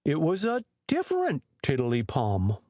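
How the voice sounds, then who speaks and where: A woman voicing a slow-witted, kindly, earnest toy bear in a children's storybook reading. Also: low-pitched, wildly swooping pitch, warm tone, no room sound, clean studio sound, full character voice. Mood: muddled, patient. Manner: ponderous, earnest.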